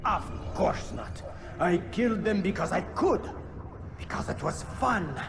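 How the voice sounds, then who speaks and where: An actor playing a cruel, zealous crusade-era tyrant in a video game, speaking in a grandiose, declamatory manner. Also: male voice, sits high, big pitch swings, unhurried, vocal fry, background noise, full character voice. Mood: gloating, unrepentant. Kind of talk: video game dramatic acting, oratory. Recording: loud music behind.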